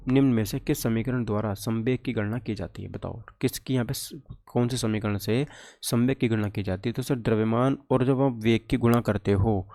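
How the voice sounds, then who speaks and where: Guy teacher going thru exam questions, soft mellow tone, asks then answers himself. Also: medium pitch. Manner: conversational, asks and answers his own questions. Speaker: physics teacher, exam coach. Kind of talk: exam question walkthrough lesson.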